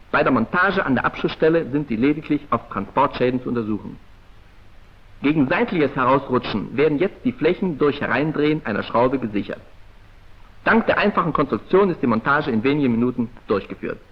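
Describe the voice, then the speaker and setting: A man's voice: medium pitch, breathy edge. Muffled 1940s film narrator, male. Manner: formal, precise, clipped declamatory delivery. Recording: muffled, dull vintage 1940s film soundtrack.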